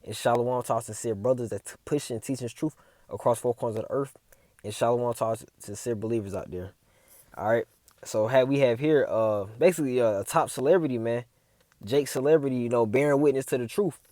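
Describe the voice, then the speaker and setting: A man's voice: medium pitch, fairly quick, mellow, no echo. A man giving a casual religious commentary as a preacher, reading scripture and tagging his lines with "man" and "all right?".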